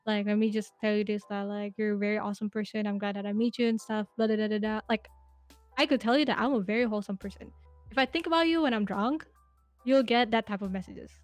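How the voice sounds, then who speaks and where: A woman's voice: medium pitch, quick pace, dry recording, soft background music. A woman in her twenties, a podcast guest chatting casually, telling rambling stories with lots of fillers and giggles. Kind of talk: casual interview chat.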